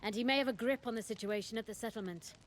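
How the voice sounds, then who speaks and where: An actress playing a pragmatic, plucky ranger comrade in a video game, casual, confident and matter-of-fact. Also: female voice, fairly high pitch, quick pace, lightly acted. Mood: wry, purposeful. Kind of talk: video game character dialogue.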